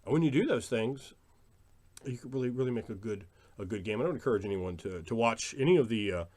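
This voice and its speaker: An emphatic man talks casually to his viewers about his opinions. His voice sits at a middle pitch, and he repeats phrases to drive points home in a loose vlog monologue. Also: lively intonation, fast, a little mellow. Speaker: tabletop role-playing video creator.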